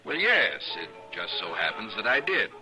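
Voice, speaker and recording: An actor playing a boastful, cowardly American war veteran, genteel and uneasy, in an old-time radio western. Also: male voice, lowish voice, big pitch swings, normal speed, rough recording. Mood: smug, modest. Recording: old radio broadcast with music.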